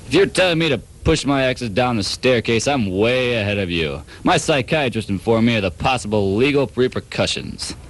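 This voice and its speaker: A man playing a pompous, dim-witted, short-tempered private detective in a comedic radio drama, melodramatic, shifting between deadpan narration and ranting. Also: medium pitch, moderate pace, few pauses, lightly acted. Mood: deadpan, smug.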